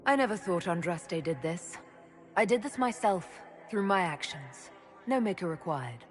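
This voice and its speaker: A woman plays a young heroic mage from a fantasy game, earnest and resolute. The voice is a little low for a woman, with clear music playing behind it. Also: lively intonation, normal speed, natural voice. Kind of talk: fantasy video game acting.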